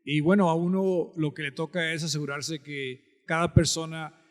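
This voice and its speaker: A man's voice: on the high side, fairly even intonation, moderate pace. A man from the Texas border with a Mexican-American Spanish accent, explaining conversationally as an interview guest and band director. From Texas-Mexico border, United States. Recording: long hall-like reverb.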